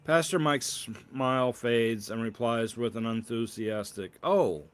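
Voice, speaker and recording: A man narrating a story as its author, with a halting delivery and occasional self-corrections. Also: mid-range voice, a bit bright, clean tone. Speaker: author reading own novel aloud.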